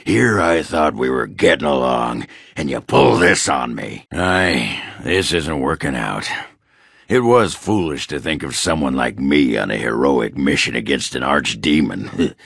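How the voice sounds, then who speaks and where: Drunk crude dwarf fighter for a video game, raspy as hell, swings all over, slow blustery swagger. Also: male voice, rumbling low, animated, fairly bright tone, dry recording, clean studio sound, exaggerated voice. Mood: dejected, resentful. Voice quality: gravelly, raspy, guttural. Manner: coarse, blustering, swearing, slurred drunken swagger. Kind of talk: video game character acting.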